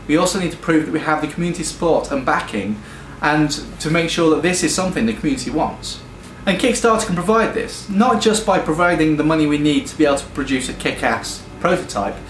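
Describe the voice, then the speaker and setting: A British man pitching his game studio's project, talking enthusiastically with frequent ums and restarts. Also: a little hiss or hum. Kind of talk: earnest crowdfunding pitch. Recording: echoey room with faint music.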